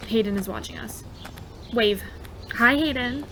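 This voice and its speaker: A woman's voice: fairly high pitch, a little slow, a bit bright, a little hiss or hum. An actress playing a bubbly, persuasive, mischievous young woman in an audio drama. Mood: conspiratorial, excited. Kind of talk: naturalistic audio drama acting.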